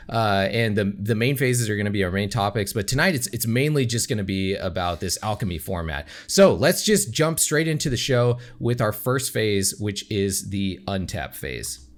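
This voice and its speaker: Laughing podcast host with lively swoops. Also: male voice, medium pitch. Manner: joking, laughs often, goofy theatrical openings. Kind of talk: casual podcast banter.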